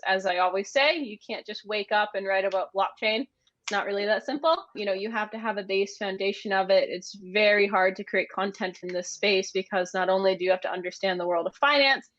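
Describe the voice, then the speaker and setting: A woman's voice: medium pitch, a little fast, a bit bright, dry recording, highs cut off. A woman from the United States with an American accent, answering conversationally as an interview guest. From United States (Maine). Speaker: interview guest, agency managing director.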